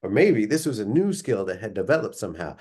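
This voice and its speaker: Expressive man reading a story. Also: lowish voice, fast, husky. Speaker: author or reader reading a story aloud. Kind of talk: expressive read-aloud storytelling narration.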